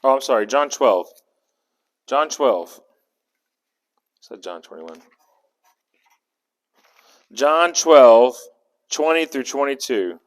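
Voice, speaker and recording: A man's voice: mid-range voice, lively intonation, takes it slowly, pauses often. Guy leading a bible study, chatty, keeps asking the group stuff, echoey hall. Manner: conversational, asks the group questions, restarts words. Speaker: Bible teacher. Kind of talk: interactive Bible study teaching. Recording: long echo of a hall.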